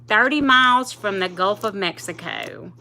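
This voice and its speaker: Woman, late fifties, livestream host; bright, chatty, rambling delivery. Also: mid-range voice, very animated, normal speed, creaky, low-quality recording. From South Louisiana, US. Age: 50s. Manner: chatty, rambling, laughs easily. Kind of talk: casual livestream chat with viewers.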